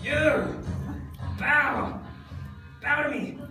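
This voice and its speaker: A woman puts on an evil, gloating henchman of a king for a comic puppet show. The voice is very high and drawn out, bossy and repetitive, caught from far off in an echoey hall with loud music. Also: steady tone, extremely slow. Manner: gloating, bossy, repeating himself.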